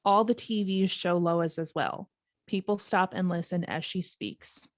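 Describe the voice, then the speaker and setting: Unhurried woman reading stage directions. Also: fairly low pitch, no room sound, studio quality. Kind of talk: scripted narration at an amateur table read.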